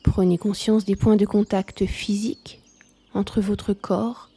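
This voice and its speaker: A woman leading a guided yoga nidra relaxation, speaking softly in a calm, soothing manner. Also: medium pitch, takes it slowly, husky.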